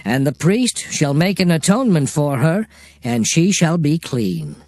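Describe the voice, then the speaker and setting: A man's voice: on the high side, big pitch swings, unhurried, a little mellow, dry recording, clean studio sound. A man playing the Lord, solemnly declaring law to his people in a declamatory dramatized scripture reading. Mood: solemn, commanding. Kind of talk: dramatized King James Bible reading.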